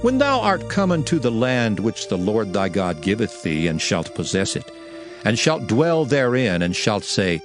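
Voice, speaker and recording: An actor voicing a solemn prophet proclaiming ancient law in a declamatory, emphatic dramatized scripture reading. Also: male voice, on the low side, big pitch swings, a little slow, over music. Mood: solemn, commanding. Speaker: scripture narrator.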